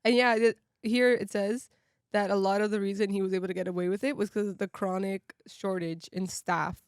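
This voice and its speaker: A woman's voice: mid-range voice, fairly even intonation, brisk, clear, resonant tone, no echo. Casual woman podcaster, dry close sound. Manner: informal, peppered with "like". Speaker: podcast panelist. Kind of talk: casual chat.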